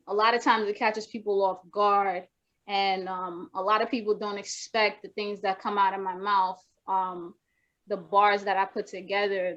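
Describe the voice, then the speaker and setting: Brief: young woman, soft voice, flat delivery, halting and rambling, lo-fi video call audio. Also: medium pitch. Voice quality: naturally soft voice. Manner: rambling, many restarts and fillers. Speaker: rapper guest. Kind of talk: casual video-call interview. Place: home room with wooden shelves.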